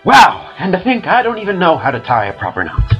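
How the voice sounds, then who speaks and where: A man's voice: high-pitched, wildly swooping pitch, a little fast, lo-fi sound, soft background music. A man playing an eager, naive helper in an amateur comedy radio drama, earnest in manner.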